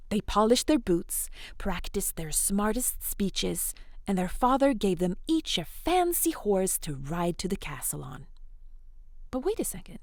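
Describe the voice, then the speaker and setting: A woman's voice: mid-range voice, takes it slowly, dry recording, studio quality. A woman telling an interactive fairy tale to children in a playful, encouraging, chatty way. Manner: encouraging, animated, chatty asides to young listeners. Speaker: storyteller, host of interactive children's fairy tales.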